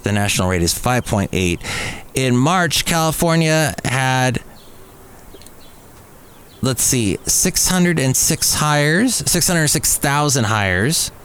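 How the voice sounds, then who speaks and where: A man's voice: mid-range voice, animated, slow pace, a bit bright. A middle-aged American man hosting a podcast alone, talking casually in a rambling, jokey way with self-mocking asides. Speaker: podcast host. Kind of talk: casual solo podcast monologue. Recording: clearly audible background noise.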